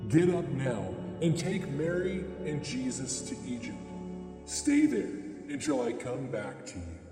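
A man's voice: medium pitch, big pitch swings, measured pace. A man voicing a solemn, commanding angel messenger in a dramatised Bible story, with a heavenly reverb on the voice. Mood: urgent warning. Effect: long heavenly reverb. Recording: echoey long reverb, music behind.

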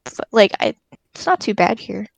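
A woman's voice: mid-range voice, huge pitch swings, normal speed, gravelly, no room sound. A woman in her early twenties in casual chat, giggly and telling stories with quoted asides.

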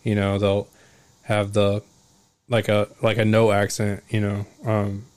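Man, mild Oklahoman accent, casual chat, smooth voice, dry sound. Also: lowish voice, monotone, unhurried, a little mellow, no echo. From Oklahoma, USA. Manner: rambling, filler-heavy, laughs often. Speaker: conversation partner.

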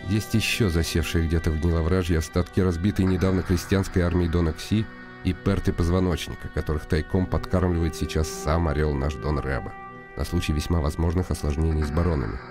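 Radio drama lead: a man as a deep, wry nobleman, commanding and deliberate, with music underneath. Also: steady tone, lightly acted. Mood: brooding, ironic. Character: nobleman hero, brooding and wry, impatient with his servant. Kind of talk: radio drama acting with inner monologue. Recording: music behind the voice.

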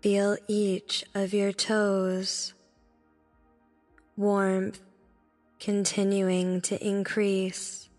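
A woman narrating a guided meditation in a soothing, deliberate manner, speaking in short instructive phrases. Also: mid-range voice, completely flat delivery, darkish tone. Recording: long reverb with background music. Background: music.

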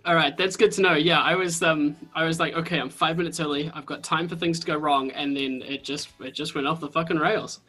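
A man with a New Zealand accent hosting a podcast interview over a video call, chatty and excitable, swearing casually. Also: on the high side, fairly quick, hardly any pauses, soft background music. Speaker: podcast host.

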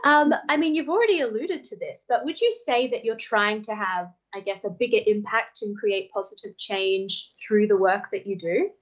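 A woman's voice: medium pitch, moderate pace, hardly any pauses, lo-fi sound. A woman co-hosting a radio show, interviewing in a chatty, informal way. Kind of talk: conversational interviewing.

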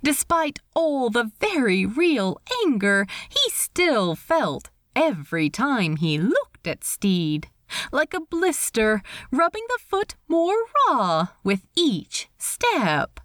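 A woman reading a story aloud in expressive scripted narration. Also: fairly high pitch, takes it slowly, no room sound, clean studio sound. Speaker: reader of fan fiction.

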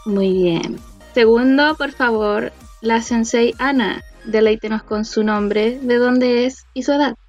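A woman with a slightly high voice hosts the chat, calling on each guest in a playfully formal tone. Her mic sounds dull and lo-fi, and faint music plays underneath. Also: takes it slowly, darkish tone. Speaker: host. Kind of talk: hosting introductions.

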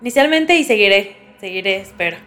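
A woman's voice: mid-range voice, animated, a little fast, fairly bright tone. A woman co-hosting a podcast in casual, conversational chat. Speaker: podcast co-host.

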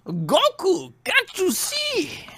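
A man voicing a gloating, grandiose dictator villain with a mock French accent, shouting theatrically in a comedic fan fiction reading. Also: very high voice, wildly swooping pitch, very slow, full character voice.

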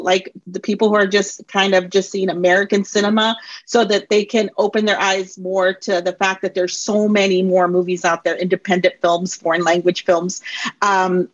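A woman's voice: medium pitch, muffled recording. A middle-aged woman hosting an interview, asking questions enthusiastically with frequent ums. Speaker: interviewer host.

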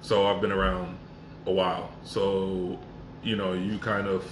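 A man in his forties, born in Jamaica and raised in Miami, speaks in a very low voice. He tells his story in an earnest, reflective way. The room is echoey, with clear background noise. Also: level tone, measured pace. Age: 40s. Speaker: podcast guest. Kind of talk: casual conversational podcast.

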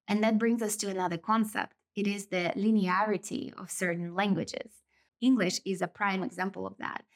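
A woman's voice: mid-range voice, fairly even intonation, normal speed. Thoughtful Russian-native woman podcasting. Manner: thoughtful, explanatory, stretches and rephrases phrases. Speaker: podcast host. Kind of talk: reflective solo podcast monologue.

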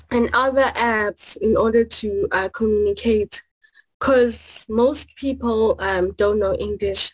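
A woman from South Africa answers interview questions as a graduate student. Her voice sits a little high and has a husky, breathy edge. She explains things earnestly, leaning on examples and a frequent "you know.". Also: low-quality recording. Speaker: guest, master's student.

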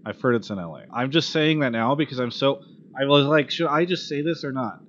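A man's voice: medium pitch, expressive, brisk, a bit bright. A man hosting an esports call-in show, chatting casually and bluntly, with some swearing and exasperation. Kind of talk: casual live talk show chat. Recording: dull lo-fi audio with clear background noise.

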